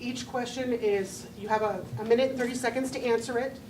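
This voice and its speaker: High-voiced woman in an echoey room. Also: fairly even intonation, a little fast. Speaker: timekeeper at a forum. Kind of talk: informal explanation of rules. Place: meeting room at a party headquarters. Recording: echoey room, distant mic, clear noise.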